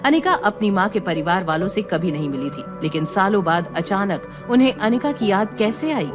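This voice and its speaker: A woman's voice: mid-range voice, lively intonation, moderate pace, over music. A woman narrating a serial audio drama in a scripted storytelling style.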